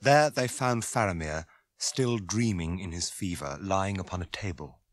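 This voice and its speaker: A man narrating a radio drama from a script in a measured, sombre manner. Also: sits low.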